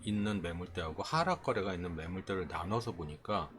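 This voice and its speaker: A man giving an informal screen tutorial as a trading instructor, explaining conversationally and pausing mid-phrase. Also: deep voice, fairly bright tone, a little hiss or hum.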